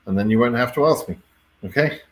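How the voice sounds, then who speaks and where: British guy answering viewer questions live, blunt and a bit exasperated, ordinary mid pitched voice. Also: quick pace, poor audio. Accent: British English. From Britain. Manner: blunt, wry, mildly exasperated, sweary, checks in with "right?".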